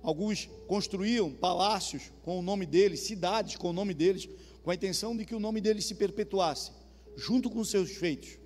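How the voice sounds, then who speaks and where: High-voiced southern Brazilian pastor. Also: male voice, moderate pace. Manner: conversational, asks the congregation questions. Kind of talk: sermon. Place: church hall. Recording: reverberant church hall with soft music.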